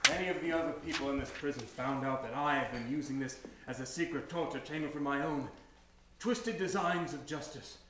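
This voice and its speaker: A man's voice: mid-range voice, a little fast, noisy background, lightly acted. Man acting a pompous villainous warden, echoey room. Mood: scheming. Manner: pompous, theatrical. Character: pompous villainous prison warden with twisted ideas of justice. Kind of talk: melodramatic old-time radio acting. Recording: very echoey room, faint music.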